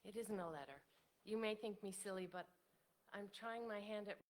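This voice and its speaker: An actress playing a modest, wistful, devoted widow in a staged reading of a period drama, with genteel, articulate phrasing. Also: female voice, mid-range voice, moderate pace, close to an everyday voice. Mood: bashful. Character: elderly devoted widow writer, modest, wistful, self-doubting.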